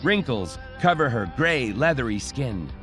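A man's voice: mid-range voice, very animated, a little slow. A man reading scripted audio description as a narrator. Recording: loud music under voice. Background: music with guitar and singing.

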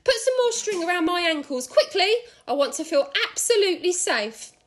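A woman's voice: very high voice, sing-song, moderate pace, a bit bright, pitched above the performer's own voice, exaggerated voice. A woman voicing an ugly, beastly old wife who cries out frantically in a children's storybook. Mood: anxious, urgent. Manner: exclaiming, crying out.

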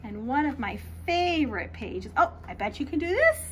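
A middle-aged American woman reading a picture book aloud to young children in an expressive, sing-song way, outdoors on a patio. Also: sits high, normal speed. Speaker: children's read-aloud host, teacher. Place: small backyard patio, outdoors. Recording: light outdoor background noise.

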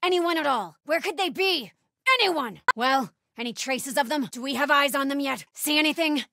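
An actress playing a grim, vigilant cultist hunter in a video game, giving terse callouts in a husky voice. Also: female voice, sits high, expressive, normal speed, background noise. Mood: urgent, demanding. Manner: clipped, terse. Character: grim, vigilant female cultist hunter stalking her enemies.

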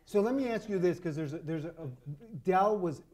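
A middle-aged American man hosting an onstage interview, asking probing questions in a halting, conversational way. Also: high-pitched, lively intonation, a crowd in the background. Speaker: interviewer, podcast host. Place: on stage in a small dark theater.

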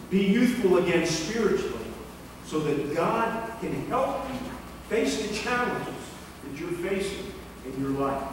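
Guy preaching slow in a big church, kinda high voice, heard from far back. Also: a little hiss or hum. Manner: slow, earnest preaching with emphatic rises. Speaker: pastor. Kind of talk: sermon. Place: church sanctuary. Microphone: distant camera mic. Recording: echoey church hall from far off.

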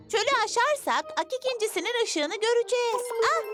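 A decisive young girl leader from a children's fantasy cartoon. Her voice is extremely high, earnest and rallying, with music loud behind it. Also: animated, moderate pace. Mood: earnest, hopeful. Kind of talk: dubbed children's cartoon acting.

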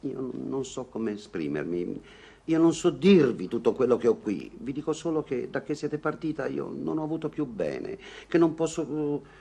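Flustered egoistic gentleman, lovestruck, awkward, theatrical, hesitant, lively swooping pitch, old radio comedy. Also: moderate pace, vocal fry, breathy edge, full character voice. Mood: pleading, agitated. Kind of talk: vintage Italian radio comedy acting.

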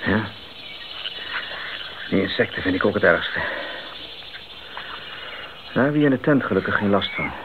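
Casting: eager young missionary man, fairly deep, radio play, muffled noisy recording. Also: expressive, a little slow, long pauses. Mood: companionable. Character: young male missionary, eager, concerned companion. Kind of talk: 1980s radio drama acting.

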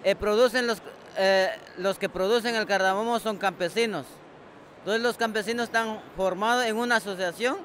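A man's voice: sits high, a bit bright. A young Guatemalan man with a Guatemalan Spanish accent, answering interview questions hesitantly at a busy trade fair stand into a handheld mic. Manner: hesitant, simple phrasing. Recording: faint background noise.

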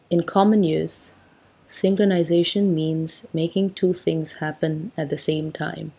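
Mellow, gravelly young woman reading calmly. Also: low-pitched, low-quality recording. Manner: calm, even, measured reading. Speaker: reader. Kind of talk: reading a textbook aloud as a sleep aid. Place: small bedroom indoors. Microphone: wired earphone mic at a laptop.

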